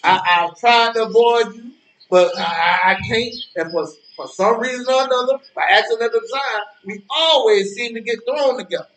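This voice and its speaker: An actor playing an indignant, preachy old man in a stage play, halting and repeating words as he moralizes. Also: very high-pitched, a bit bright. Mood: defensive. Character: preachy, indignant old man, lonely park-bench regular. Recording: echoey, distant-sounding, noisy ambience with birdsong.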